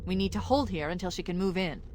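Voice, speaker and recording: A woman playing a composed, clever military artificial intelligence in a sci-fi game, speaking in a precise, briskly informative way. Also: lowish voice, darkish tone, a little hiss or hum, close to an everyday voice. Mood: composed, analytical. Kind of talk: scripted sci-fi game acting.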